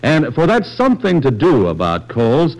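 An American man working as a radio announcer, delivering a scripted sponsor pitch on a vintage 1940s broadcast. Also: wildly swooping pitch, normal speed, poor audio.

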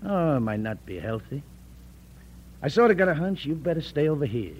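An actor playing a menacing, folksy townsman with a drawn-out, mock-casual manner in an old radio Western. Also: a little high, very animated, a little mellow, breathy edge. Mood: veiled warning. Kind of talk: old-time radio Western drama acting. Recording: dull, noisy vintage radio recording with hum.

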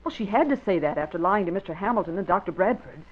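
A woman's voice: on the low side, animated, quick pace. A woman playing an earnest, observant young newspaper employee in an old-time radio drama, speaking with insistence. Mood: insistent. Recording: noisy, dull vintage radio recording.

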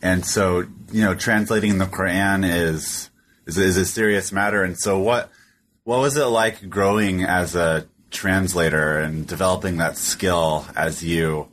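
A man interviewing a guest, asking his questions in a relaxed, halting way. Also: deep voice, slight background noise.

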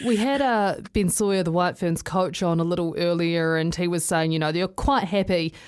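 A woman hosting a sports radio show, brisk and upbeat as she reads promos and introduces guests. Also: lowish voice, clean tone.

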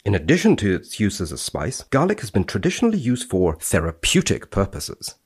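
A man's voice: on the low side, huge pitch swings, a little fast, husky. A man, a researcher appearing as a podcast guest, reading studies dramatically and chatting about them.

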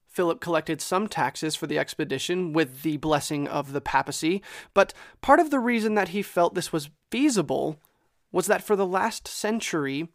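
A man's voice: fairly high pitch, no echo. A man hosting a history podcast, reading a scripted narration in a conversational way with light self-deprecating asides, into a close microphone.

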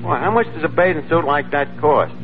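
A man's voice: a little high, a little fast, over music, natural voice. An actor playing a brash, slangy New York barkeep and would-be ladies' man in 1940s radio comedy. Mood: cocky, flirtatious. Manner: streetwise, slangy, wisecracking. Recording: noisy, muffled vintage radio broadcast.

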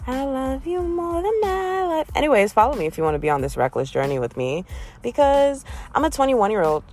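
A woman in her early twenties hosting a casual solo podcast, chatty and self-deprecating, often jumping up in pitch with giggles. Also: sing-song, brisk, a little mellow, soft background music. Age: 20s. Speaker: podcast host. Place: in a car.

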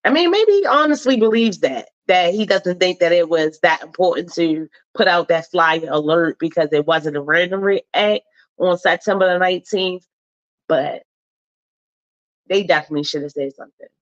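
A woman's voice: on the low side, lively intonation, moderate pace, lo-fi sound. A woman hosting a live talk show, chatting in a casual, slangy and emphatic way, a little stuffed up. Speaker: host.

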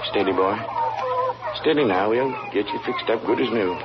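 A man's voice: fairly low pitch, normal speed, close to an everyday voice. Man acting a folksy countryman, very noisy radio. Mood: soothing. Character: steady country neighbour tending his hounds. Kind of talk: old-time American radio drama acting. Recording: very noisy, muffled old radio recording.

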